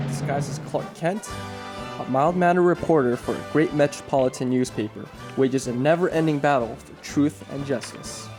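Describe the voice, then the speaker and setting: Faint music sits behind a man performing as a dramatic radio serial narrator. The delivery is declamatory and scripted, in 1940s adventure style, at a medium pitch. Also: darkish tone, lightly acted.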